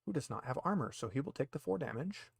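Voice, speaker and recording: A man's voice: medium pitch, lively intonation, fast, gravelly, slightly rough, dry recording, studio quality. A man acting as game master, casually explaining the rules of a tabletop roleplaying game.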